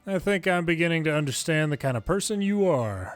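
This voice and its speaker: A man reading a game script aloud in an expressive, deliberate and dramatic way. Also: a little high, soft background music. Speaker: longplay video maker reading game dialogue.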